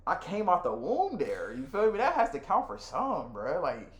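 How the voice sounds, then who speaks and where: Young man, American accent, casual co-host chat, slangy and animated, echoey room sound. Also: fairly high pitch, moderate pace. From Nigeria, left at six for the United States. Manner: animated, slangy, often addressing his friend as bro. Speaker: podcast co-host. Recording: echoey room with faint noise.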